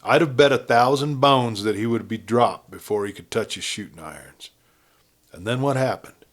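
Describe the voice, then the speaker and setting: A man's voice: mid-range voice, moderate pace, lightly acted. A man voicing a ruthless, blunt outlaw gang leader with a folksy cowboy drawl in a Western story. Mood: uneasy, menacing.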